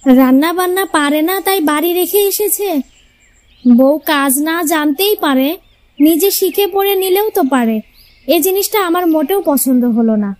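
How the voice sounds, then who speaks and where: A woman voicing a sharp-tongued, bossy young housewife in a cartoon tale, pointed and expressive. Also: sits high, normal speed. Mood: disapproving. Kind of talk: Bengali cartoon fairy-tale voice acting.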